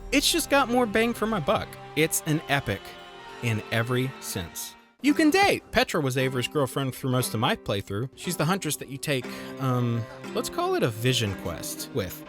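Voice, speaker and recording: A man narrating a scripted comedic game review in a wry, sarcastic way, jumping high in pitch for his jokes. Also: fairly bright tone. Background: music.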